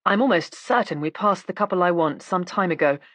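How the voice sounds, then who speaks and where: A woman narrator takes the part of a composed police inspector, a man of courteous discretion. The delivery is measured and understated, pitched on the low side and recorded dry. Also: fairly bright tone, dry recording, lightly acted. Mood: calm, discreet.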